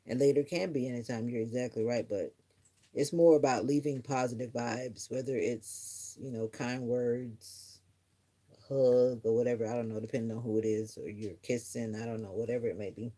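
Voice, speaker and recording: A woman's voice: very deep voice, steady tone, moderate pace, dark tone. A middle-aged woman chatting casually on a live stream, musing earnestly to her viewers in a reflective, rambling way. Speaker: livestreamer.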